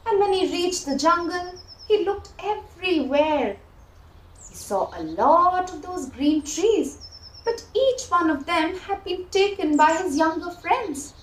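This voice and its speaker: A woman telling a children's story in an expressive, deliberate way, addressing young listeners directly. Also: very high-pitched, roomy sound, poor audio. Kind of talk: animated scripted storytelling for children.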